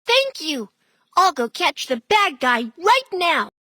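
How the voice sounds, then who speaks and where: A woman's voice: extremely high pitch, sing-song, slow, noisy background, heavily acted. A woman voicing a small, eager, childlike animal police helper in a children's cartoon, speaking in simple exclamatory phrases. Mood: eager, urgent. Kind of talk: scripted children's cartoon dialogue.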